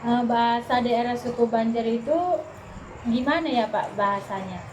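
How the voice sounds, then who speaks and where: Young female student interviewer, higher-than-average pitch, informal delivery, heavy traffic-like background noise. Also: fairly even intonation, normal speed. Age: young adult. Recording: very noisy recording with passing vehicles.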